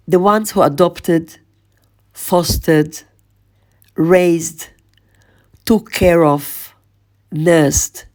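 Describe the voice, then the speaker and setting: Slow, husky-toned woman podcast host. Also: on the low side, a little mellow. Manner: slow, measured, lingering on lists. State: voice strained, losing her voice. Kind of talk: reflective spoken podcast monologue.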